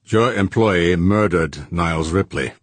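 A man acts out a dogged audiobook character, a formal businessman who will not let go. The voice is low and husky, deliberate and insistent, in a very dry recording. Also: no room sound.

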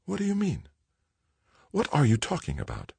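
A worried mother trying to soothe her grown son in an audiobook, played by a man. The voice is hushed and husky, lifted a little, with a very dry sound. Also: lowish voice, big pitch swings, moderate pace, no echo, pitched above the performer's own voice, full character voice. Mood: soothing, worried. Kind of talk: audiobook character acting.

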